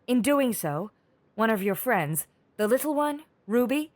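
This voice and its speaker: Woman as high-voiced adventuress, cool, superior, sardonic, scolding, dramatic audio play. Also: lively intonation, unhurried, a little mellow, no room sound. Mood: serious. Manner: sardonic, commanding, knowing.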